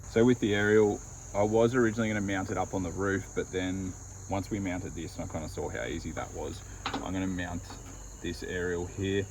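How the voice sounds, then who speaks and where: A man's voice: sits low, fairly even intonation, fairly quick, a little hiss or hum, low-quality recording. An Australian man casually explaining a hands-on DIY install in a laid-back, matter-of-fact way. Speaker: DIY van-build vlogger.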